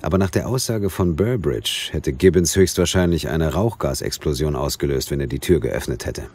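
An actor playing a stubborn police detective in an audio drama, dry, insistent and sardonic. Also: male voice, a bass voice, moderate pace, darkish tone. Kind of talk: German audio drama acting.

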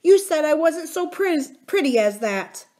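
A woman's voice: high voice, very animated, measured pace, dark tone, voice pushed up. A woman voicing a fussy motherly nurse who scolds and takes offence easily. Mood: huffy. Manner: huffy, scolding.

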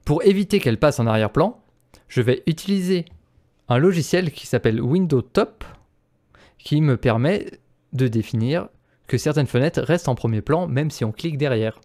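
A man's voice: mid-range voice, lively intonation, moderate pace, warm tone. A man presenting an instructional screencast as a voice-over. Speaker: tutorial presenter.

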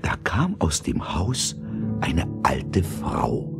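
A man's voice: a bass voice, huge pitch swings, drawn-out words. A man narrating a scripted fairy tale as a storyteller. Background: music.

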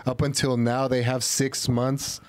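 A man's voice: medium pitch, flat delivery, measured pace. Young adult male, mid-range voice, informal explanatory podcast chat on a handheld mic. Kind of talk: casual group podcast chat. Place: small room with couches, indoors. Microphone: handheld microphone.

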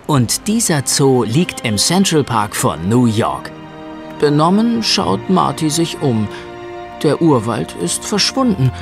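A man narrating a children's audio play as an expressive storyteller. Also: medium pitch. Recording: music under the voice.

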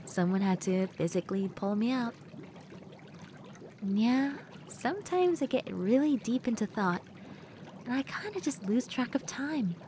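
Woman acting unhurried, flirty crush over bubbling water. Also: fairly high pitch. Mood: friendly, musing. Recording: steady running water noise behind voice. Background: bubbling hot tub water sounds.

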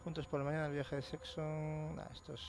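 A man's voice: on the high side, completely flat delivery, a little fast, creaky. A man with a Castilian Spanish accent doing casual gameplay commentary, sweary and muttering to himself. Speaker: gamer, let's play host. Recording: noisy game sound behind voice. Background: horror game ambience.

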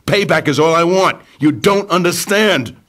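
A man playing a hardened, gruff avenger bent on revenge in a comic-book audio drama. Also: fairly high pitch, normal speed, a bit bright, natural voice. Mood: grim.

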